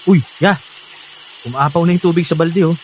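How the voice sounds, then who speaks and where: A man's voice: a little high, fairly quick, slight background noise, natural voice. An actor playing an affectionate, eager young man with a Filipino accent in a radio drama, chatty and earnest. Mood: concerned. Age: young adult. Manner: earnest, chatty, laughs often.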